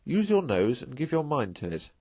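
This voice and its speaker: A man's voice: medium pitch, very animated, moderate pace, a little mellow, no room sound, studio quality, voice pushed up. A man voicing a kindly, decisive rat from a storybook, speaking in an old-fashioned, chummy and reassuring way. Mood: hearty, decisive. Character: kindly, hearty, decisive male rat, loyal companion.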